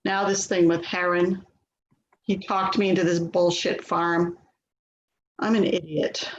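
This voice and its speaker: A woman's voice: on the low side, flat delivery, measured pace, natural voice. A woman acting an anxious, self-doubting grown daughter in a play reading, speaking in a placating, hesitant way. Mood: dejected. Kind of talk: dramatic play reading, naturalistic dialogue. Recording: echoey, dull, noisy remote recording.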